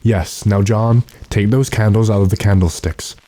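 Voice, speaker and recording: Man acting a deep, dark-voiced commanding vampire hunter. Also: steady tone, no room sound, lightly acted. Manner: deliberate, authoritative. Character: calm, commanding gentleman vampire hunter, master of a servant. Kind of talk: classic radio horror drama acting.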